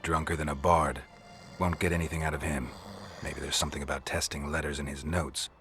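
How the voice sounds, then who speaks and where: Gruff game monster hunter, extremely low voice, gravelly, deadpan, skeptical, clear music behind. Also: male voice, brisk. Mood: muttering to himself. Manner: deadpan, sardonic. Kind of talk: video game voice acting.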